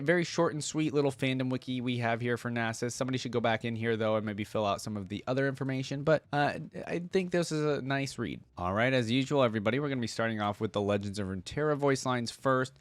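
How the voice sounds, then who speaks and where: A man's voice: medium pitch, lively intonation, quick pace, few pauses, no room sound. A man hosting a reaction video, casually reading aloud and commenting as he thinks things through.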